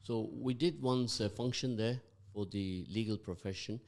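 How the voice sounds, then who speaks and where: Low-voiced male radio host, rambling. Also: a little mellow. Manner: rambling, halting with many fillers. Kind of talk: casual radio chat.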